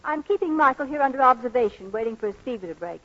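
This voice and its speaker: An actress playing an unruffled, gracious doctor wife in an old radio comedy, polite and gently dry. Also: female voice, sits high, animated, fast, full character voice. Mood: calm, sweet. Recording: muffled old radio broadcast recording.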